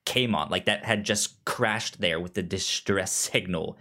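A man co-hosting a podcast in a casual film discussion, halting and often repeating words and syllables as he forms his thoughts. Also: low voice, sharp tone. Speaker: podcast co-host.

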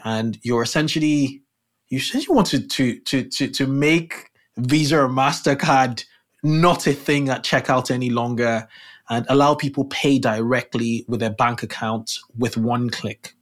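A man hosting a casual podcast interview, talking in a rambling way with many fillers and restarts. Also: mid-range voice. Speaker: podcast host.

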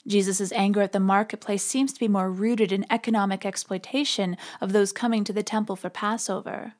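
A woman hosts a podcast in a conversational but scripted style. She explains religious material informally, with a middling pitch for a woman. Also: steady tone, a little fast, a little mellow. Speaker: podcast host.

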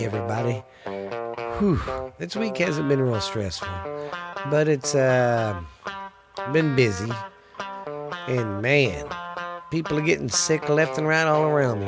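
A man with a Texan accent hosting his own podcast in a laid-back, rambling way, swearing freely and sounding stuffy and congested. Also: medium pitch. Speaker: podcast host. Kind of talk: casual solo podcast chat.

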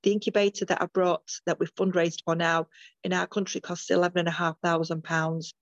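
An older woman from the UK speaks in a low, fairly flat voice, with frequent ums and restarts as she talks through her midwifery work. The sound is dry and a little dull, like a call recording. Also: brisk, dry recording. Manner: earnest, many restarts and ums. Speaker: guest midwife. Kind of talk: interview over a call.

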